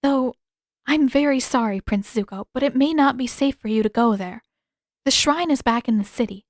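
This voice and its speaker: Woman voicing a gentle, higher-pitched young princess. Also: moderate pace, dry recording, clean studio sound, close to an everyday voice. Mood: polite, apologetic. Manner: careful, hesitant, polite phrasing. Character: gentle, thoughtful teenage princess, courteous and hesitant.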